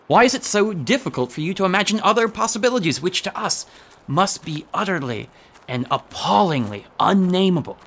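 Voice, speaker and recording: An actor playing an opinionated intellectual storyteller drawn to the uncanny, arguing formally and dramatically in a radio drama. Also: male voice, sits high, animated, brisk, fairly bright tone, full character voice. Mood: challenging. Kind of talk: theatrical radio drama acting.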